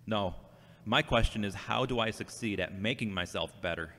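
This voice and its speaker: A man's voice: lowish voice, normal speed, sharp tone. A man playing an earnest, devout, searching younger brother who questions himself, in an amateur audio drama. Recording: reverberant hall-like sound.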